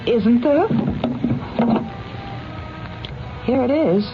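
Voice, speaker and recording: An actress playing a ruthless, possessive wealthy mistress in a 1970s radio drama, with imperious, precise diction. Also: female voice, on the high side, lively intonation, moderate pace. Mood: commanding, threatening. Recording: dull old radio recording, music behind.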